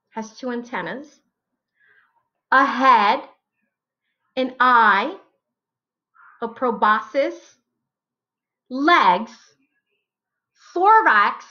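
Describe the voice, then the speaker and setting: Woman, 30s, teacher read-aloud: high, animated voice, deliberate phrasing, slightly muffled lo-fi home recording. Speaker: teacher reading picture books aloud. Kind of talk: slow, expressive read-aloud for children.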